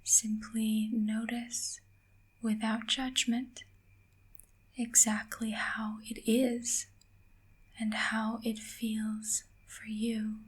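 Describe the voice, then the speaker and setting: A woman softly whispering a guided ASMR meditation in a gentle, calming manner, leaving long pauses between short phrases. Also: on the high side. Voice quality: soft, breathy whisper.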